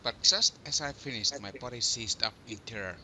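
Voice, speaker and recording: A man's voice: mid-range voice, brisk. A man reading scripted story narration for an amateur game fandub. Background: loud background music.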